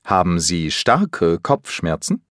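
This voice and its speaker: Male course speaker, lower voice, deliberate and slow, dry studio sound. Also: big pitch swings, a bit bright, no room sound. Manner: clear, deliberate enunciation. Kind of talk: slow scripted language drill.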